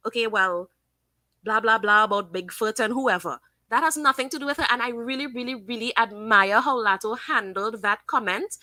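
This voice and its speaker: A woman hosting a casual live stream, giving her opinions and reading viewers' comments aloud in a chatty, thinking-out-loud way. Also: a little high, no echo. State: sniffly from sinus trouble. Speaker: livestream host.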